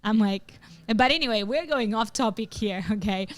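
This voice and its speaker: A young adult woman from northern Italy co-hosting a podcast in casual chat, telling animated stories and leaping into high voices for quotes. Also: moderate pace. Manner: animated storyteller, jumps into high acted quotes. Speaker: podcast co-host.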